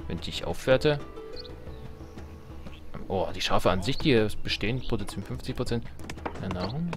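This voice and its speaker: Male Let's Play host, lowish voice, casual and colloquial game commentary with playful exasperation, music clearly behind him. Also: expressive, moderate pace. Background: video game music.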